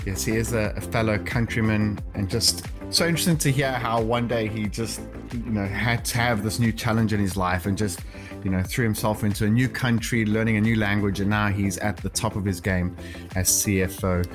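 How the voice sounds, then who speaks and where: A man hosts a podcast in a conversational style. His voice is on the low side, and music plays clearly behind him. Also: steady tone, normal speed. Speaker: podcast host.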